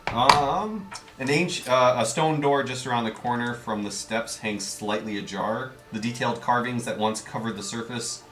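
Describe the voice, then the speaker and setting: A man's voice: medium pitch, moderate pace, a bit bright, soft background music. A man acting as game master at a home tabletop game, narrating scenes and answering his players. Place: indoor room, around a home game table. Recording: roomy, distant mic, faint noise.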